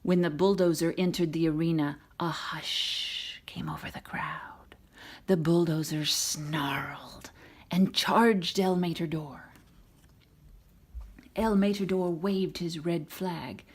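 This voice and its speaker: A woman reading a children's storybook aloud in an expressive narrating style. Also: fairly low pitch, slightly rough. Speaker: storybook reader.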